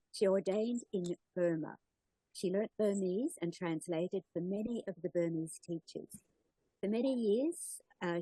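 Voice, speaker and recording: A woman hosting an interview, introducing her guest from a script and then asking questions in a warm, welcoming manner. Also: lowish voice, slightly rough, no room sound, rough recording. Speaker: interviewer, host.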